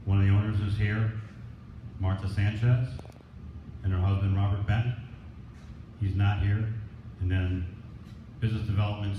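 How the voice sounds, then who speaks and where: A man giving an informal, plainspoken acceptance speech as a business owner. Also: on the low side. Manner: plainspoken, colloquial. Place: echoey indoor room at a presentation event. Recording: echoey, dull, noisy room recording.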